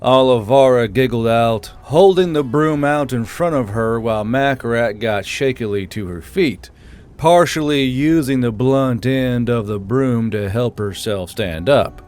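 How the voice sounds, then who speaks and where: A man's voice: medium pitch, a little slow, darkish tone, clear, resonant tone. A man reading scripted fantasy fiction as the narrator of an audiobook. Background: music.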